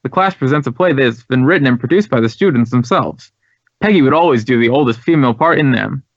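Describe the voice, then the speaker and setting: A man's voice: medium pitch, expressive, quick pace, no echo. An American man playing an earnest, talkative drama school head in a radio drama, speaking with emphatic, reflective phrasing. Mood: puzzled. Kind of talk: 1950s radio drama dialogue. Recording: dry, dull vintage radio recording.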